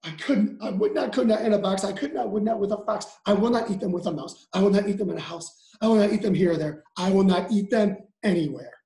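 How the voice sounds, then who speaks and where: A man's voice: high-pitched, fast, hardly any pauses, mellow, roomy sound. A man voicing a grumpy, stubborn storybook creature, chanting rhymes with exasperated emphasis.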